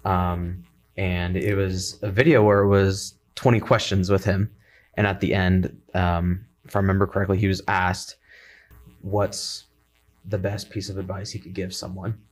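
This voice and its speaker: Relaxed low-voiced young man. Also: normal speed. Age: 20s. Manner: relaxed, rambling, many fillers. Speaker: worship leader, college student. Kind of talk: casual podcast chat.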